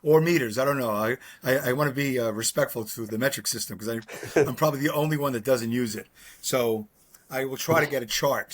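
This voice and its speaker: A man, chatting casually on a video call, rambling with lots of fillers and self-deprecating humor. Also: medium pitch. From Brooklyn, New York. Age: 60s. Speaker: podcast co-host. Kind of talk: casual chat. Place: his home.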